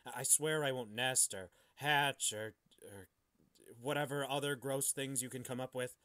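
A man reading the part of a grouchy, defensive alien parasite in a podcast story, huffy and halting. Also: mid-range voice, fairly even intonation, bright, forceful tone, no room sound, clean studio sound, lightly acted. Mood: huffy, pleading. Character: grouchy, defensive alien parasite living inside a man's body. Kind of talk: hobby podcast dramatic reading.

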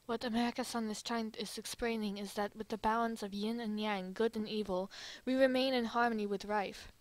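Earnest, formal young anime gentleman, played by a woman, high register, very dry close recording. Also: steady tone, brisk, no room sound, lightly acted, poor audio. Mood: earnest pleading. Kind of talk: amateur fan dub roleplay.

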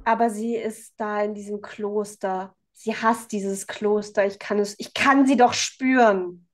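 A woman playing a distraught, defiant teenage schoolgirl in a tabletop game, talking in an emotional, halting way. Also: medium pitch, fairly even intonation, pitched below the performer's own voice, lightly acted. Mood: hurt, desperate.

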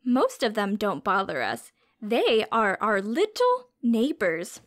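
A woman's voice: mid-range voice, wildly swooping pitch, takes it slowly. A woman reading a storybook aloud to young children as a teacher, expressively, with simple questions and explanations.

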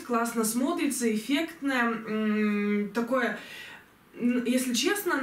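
A young woman reviewing clothes she ordered online, chatty and enthusiastic, slightly hoarse from a cold, filmed at home on a distant camera. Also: a little high. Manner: chatty, enthusiastic, many fillers. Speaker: fashion vlogger. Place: bedroom at home, indoors. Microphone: camera mic from a distance. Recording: roomy echo, voice from far off.

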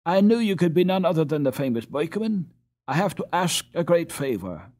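A man's voice: high voice, very animated, normal speed, pitched above the performer's own voice. A man voicing an earnest, proud young boy who pleads in a breathless, fervent way in a storybook. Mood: breathless, nervous.

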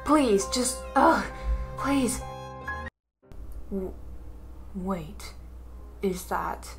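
A woman's voice: mid-range voice, animated, very slow, over music, voice pushed down. A woman voicing a terrified female captive, stammering and pleading. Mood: panicked.

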